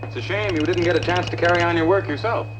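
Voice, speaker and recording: An actor playing an indignant, self-assured scientist with a brisk, challenging manner in 1950s film style. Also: male voice, high-pitched, fairly even intonation, lightly acted. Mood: guarded, businesslike. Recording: muffled, noisy 1950s film soundtrack.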